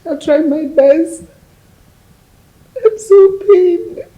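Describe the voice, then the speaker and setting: A woman playing a tearful, grieving widow who speaks softly and pleadingly. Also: mid-range voice, measured pace, breathy edge, rough recording. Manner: tearful, soft-spoken, pleading. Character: grieving young widow, later comforting a man. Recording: faint background noise.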